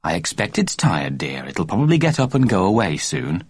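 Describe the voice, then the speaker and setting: A man reading a storybook, voicing a busy, weary mother who answers softly and curtly. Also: fairly low pitch, wildly swooping pitch, moderate pace, creaky, husky, dry recording, studio quality, exaggerated voice.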